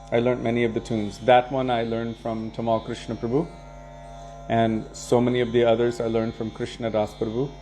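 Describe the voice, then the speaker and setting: A man leading a devotional program, casually answering viewer questions and sharing anecdotes in a relaxed way, chuckling now and then. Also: mid-range voice. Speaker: kirtan leader and devotional teacher.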